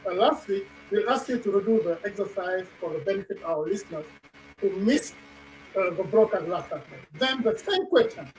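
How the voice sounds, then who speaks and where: Man, radio host, halting speech, lots of fillers, distant mic. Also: high voice, darkish tone, slight background noise. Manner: halting, many fillers and repeats. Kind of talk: talk radio interview.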